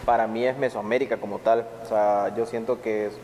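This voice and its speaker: A man's voice: lowish voice, fairly even intonation, a little fast, a little mellow. A man speaking as a chef on a panel, reflective and hesitating often. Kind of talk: conference discussion. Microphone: video call. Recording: reverberant room, faint noise.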